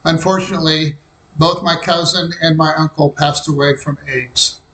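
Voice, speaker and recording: An older man from Kansas recounting memories of his youth in a reflective, unhurried way. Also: on the high side, steady tone, sharp tone. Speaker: interviewee recalling his life. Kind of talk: personal memoir narration. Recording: roomy echo.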